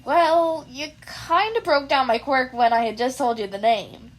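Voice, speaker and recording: A woman voicing a playful, excitable, teasing teenage boy with a casual manner, in a story reading. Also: sits high, a little slow, low-quality recording. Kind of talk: fan fiction audiobook character voice.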